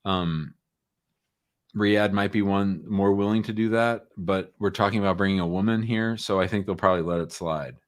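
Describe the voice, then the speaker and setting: A man's voice: low-pitched, fairly even intonation, moderate pace. A man hosting a live call-in advice show, chatting casually and giving short, informal, reassuring answers. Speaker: host answering immigration law questions.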